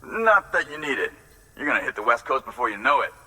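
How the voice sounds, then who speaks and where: Game outpost worker, very bright forceful voice, excitable and friendly, slightly distant with faint noise. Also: male voice, mid-range voice, fast talker. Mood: hopeful, fired up. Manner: excitable, casual American slang. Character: upbeat eager male outpost worker, friendly, starstruck. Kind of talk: video game cutscene acting.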